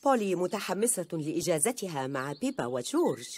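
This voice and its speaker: A woman's voice: fairly low pitch, very animated, fairly quick, darkish tone, husky. A woman voicing a kindly, chatty elderly grandmother pig in a preschool cartoon. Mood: affectionate. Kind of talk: Arabic-dubbed preschool cartoon.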